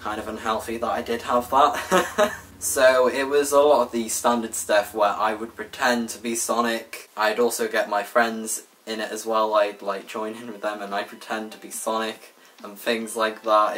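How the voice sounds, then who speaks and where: A man's voice: on the low side, flat delivery, moderate pace, a bit bright. A nineteen-year-old Welsh man chatting casually to his viewers, rambling and correcting himself with lots of fillers. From Wales. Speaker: gaming YouTuber. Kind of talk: casual vlog talk to viewers.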